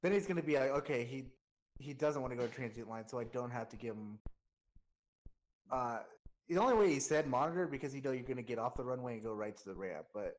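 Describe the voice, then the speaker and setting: Medium-pitched man coaching informally. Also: lively intonation, quick pace, poor audio. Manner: informal coaching, explains with examples. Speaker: air traffic controller coaching a flight sim player. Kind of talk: casual explanatory chat.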